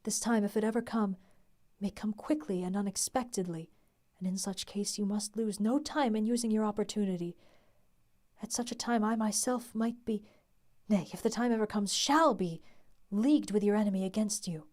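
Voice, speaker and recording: A woman's voice: medium pitch, normal speed, a little mellow, close to an everyday voice. A woman voicing a devoted young wife who speaks earnestly and solemnly of her own death. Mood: solemn pleading.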